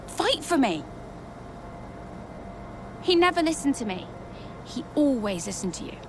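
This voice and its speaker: A woman's voice: high-pitched, very animated, unhurried. Hurt, defiant young woman, noisy background. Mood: hurt, accusing. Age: young adult. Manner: confrontational, wounded, plain-spoken. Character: hurt, defiant young woman racing driver, resentful of family. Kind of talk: naturalistic dramatic video game acting.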